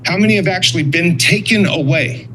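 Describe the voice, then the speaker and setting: A man's voice: high voice, a little fast, background noise. A blunt, sarcastic man giving confrontational public comment at a meeting, swearing and accusing.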